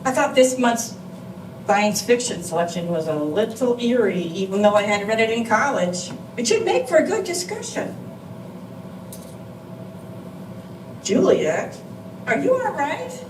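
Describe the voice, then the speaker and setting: Woman acting a high-voiced, chatty elderly lady, echoey room. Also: expressive, moderate pace, natural voice. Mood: concerned. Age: older. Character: Helen, chatty cheerful elderly woman, concerned friend. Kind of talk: readers theatre script reading on stage. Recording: distant mic in echoey room, background noise.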